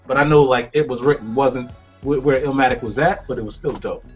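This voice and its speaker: A man on the panel giving his views in a relaxed, reflective way. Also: medium pitch, fairly quick. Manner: reflective, acts out sounds in stories. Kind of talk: casual conversation. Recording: lo-fi, faint music behind.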